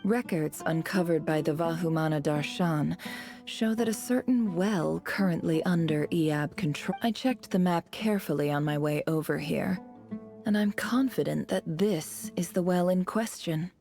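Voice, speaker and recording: An actress playing a cunning young envoy in a video game, polished, composed and secretly scornful, with a faintly condescending manner. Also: female voice, on the low side, moderate pace, dark tone, soft background music, close to an everyday voice. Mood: poised, diplomatic. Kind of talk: dramatic video game voice acting.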